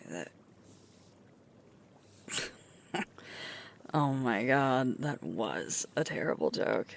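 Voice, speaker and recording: A woman playing a sweet, tired, affectionate werewolf girlfriend in an intimate ASMR roleplay, speaking softly with a breathy, creaky voice. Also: rumbling low, a little hiss or hum, full character voice. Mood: sheepish, amused. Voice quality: breathy, creaky, often whispering.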